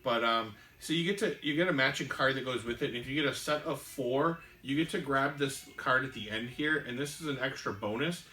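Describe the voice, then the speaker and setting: A man hosting a casual board game show, explaining the rules in a halting way with many ums and restarts. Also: medium pitch, bright, forceful tone, roomy sound.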